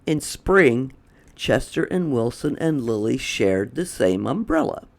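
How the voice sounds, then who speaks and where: A man's voice: mid-range voice, very animated, slow, vocal fry. A man reading a children's storybook aloud as a storytime host. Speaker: storytime reader.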